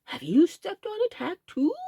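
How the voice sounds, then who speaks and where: A woman voicing a kindly old gentleman rabbit who speaks in a gentle, polite, measured way in a storybook reading. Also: very high voice, huge pitch swings, gravelly, dry recording, studio quality, voice pushed up, heavily acted.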